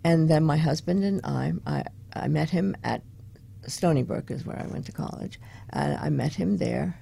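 Older woman, low, gravelly, husky voice. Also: moderate pace, mellow. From Long Island, New York, United States. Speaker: interview guest, women's activist. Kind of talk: casual autobiographical storytelling.

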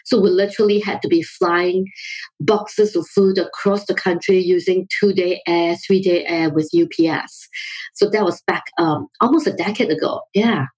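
A middle-aged woman from Singapore, a company founder, answering questions as a podcast guest, measured and quick to laugh. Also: lowish voice, a little mellow, husky, poor audio. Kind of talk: remote podcast interview.

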